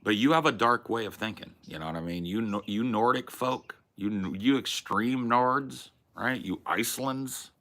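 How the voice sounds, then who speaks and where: A man's voice: mid-range voice, moderate pace, few pauses, fairly bright tone. A man hosting a casual livestream, rambling and swearing as he reads chat messages and muses aloud, often restarting his sentences. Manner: rambling, profane, restarts and self-corrections. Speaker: streamer host.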